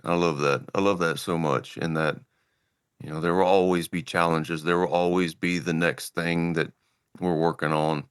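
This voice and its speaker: A man hosting a podcast, relaxed and easygoing as he steers the conversation. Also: sits low, normal speed, smooth, dry recording, clean studio sound. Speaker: podcast host. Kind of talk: casual conversation and show outro.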